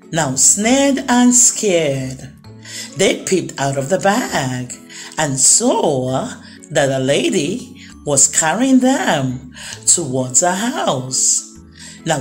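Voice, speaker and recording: A woman narrating a children's story expressively, drawing out words for emphasis. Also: lowish voice. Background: music.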